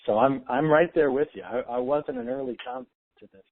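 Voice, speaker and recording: A man's voice: fairly high pitch, huge pitch swings, brisk, creaky. A man hosting a casual conference-call discussion, explaining things in an earnest, conversational way. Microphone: telephone. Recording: tinny, phone-line conference call.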